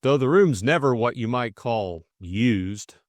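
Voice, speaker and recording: A man's voice: mid-range voice, animated, takes it slowly, dry recording. A man voicing an anxious working-class servant in an audiobook, plaintive and deferential, with ungrammatical speech. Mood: explanatory, deferential.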